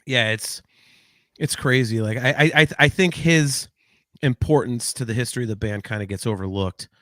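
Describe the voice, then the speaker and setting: A man's voice: medium pitch, normal speed, sharp tone, no echo. Man, 40s, podcast co-host, rambling, swears, restarts a lot, dry close sound. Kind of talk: casual podcast chat.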